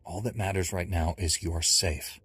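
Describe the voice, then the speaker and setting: A man voicing a calm, confident and earnest Jedi knight hero in a video game. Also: sits low, moderate pace, a little hiss or hum. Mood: resolute, earnest. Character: heroic young male Jedi knight, calm and resolute. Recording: music playing behind.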